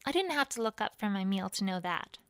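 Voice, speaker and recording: A woman's voice: medium pitch, sing-song, a little fast, a bit bright, clean tone, dry recording, clean studio sound. A woman reading fan fiction as first-person story narration, in character as a proud pony queen.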